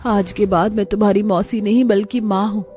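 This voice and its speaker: A woman's voice: medium pitch, fairly even intonation, fairly quick, mellow, natural voice. A woman voicing a devoted, earnest village mother of many daughters in a cartoon story. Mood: tender.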